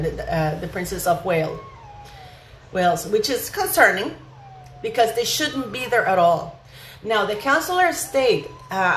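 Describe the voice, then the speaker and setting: A woman's voice: medium pitch, a bit bright. Echoey, emphatic woman gossip vlogger. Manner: emphatic, indignant, rhetorical questions. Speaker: vlog host. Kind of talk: opinionated royal gossip commentary. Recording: echoey room with faint background noise.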